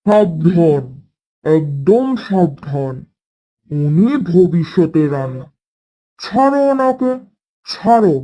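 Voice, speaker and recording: A woman voicing a stern, dutiful royal messenger in a cartoon story, speaking formally and deliberately. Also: lowish voice, warm tone, poor audio. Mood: stern warning.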